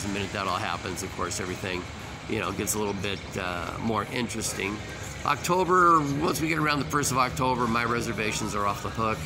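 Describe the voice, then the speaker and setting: A man's voice: lowish voice, rough recording. A man in his seventies giving a casual, unscripted fishing report to camera outdoors, with a folksy, rambling manner that repeats words. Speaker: fishing charter operator giving a weekly report. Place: outdoors at a marina storefront.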